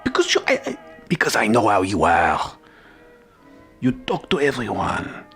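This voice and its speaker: An actor playing an anxious, secretive boss in an audio drama, stammering and dropping into hushed tones. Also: male voice, on the low side, huge pitch swings, moderate pace, faint music behind, full character voice. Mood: flustered. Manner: stammering, flustered, lapsing into hushed whispers. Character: anxious, secretive boss of a janitor, devoted husband. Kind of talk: theatrical audio drama phone monologue.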